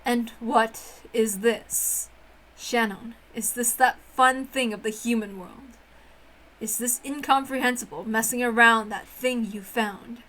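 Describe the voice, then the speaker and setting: A woman's voice: fairly high pitch, fairly bright tone, pitched below the performer's own voice, rough recording. A woman voicing a haughty, cold witch who speaks in a pompous, formal and disdainful way in a dramatic story reading. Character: haughty, cold, bewildered witch scorning human games.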